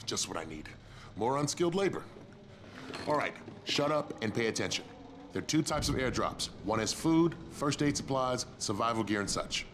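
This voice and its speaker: A man playing a gruff, no-nonsense survivor in a video game, giving curt, sardonic briefings. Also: mid-range voice, very animated, moderate pace, noisy background, natural voice. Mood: irritated. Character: gruff, no-nonsense male survivor handing out jobs. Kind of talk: video game dialogue acting.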